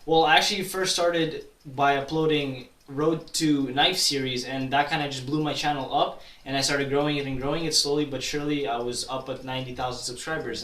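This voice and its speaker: A man's voice: medium pitch, a bit bright. Rambling young man, roomy distant sound. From Kazakhstan. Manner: rambling, many restarts and fillers. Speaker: YouTuber. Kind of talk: casual Q&A vlog. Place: at his grandmother's town in Kazakhstan. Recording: roomy echo, distant from mic.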